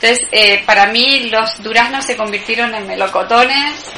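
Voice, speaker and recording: A woman's voice: on the high side, moderate pace, sharp tone. A woman with an Argentine accent, a poet talking thoughtfully about her work as an interview guest, often searching for words. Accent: Argentine Spanish. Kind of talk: reflective conversation. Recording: echoey room, background noise.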